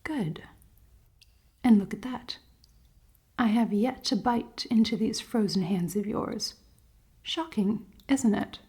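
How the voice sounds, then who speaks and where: Woman playing a slow, breathy, haughty elven queen. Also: medium pitch, exaggerated voice. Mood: gentle, teasing. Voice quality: soft, breathy, often whispering. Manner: slow, formal, commanding, teasing. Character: cold-hearted, dominant elven queen, haughty, secretly caring. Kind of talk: ASMR fantasy roleplay.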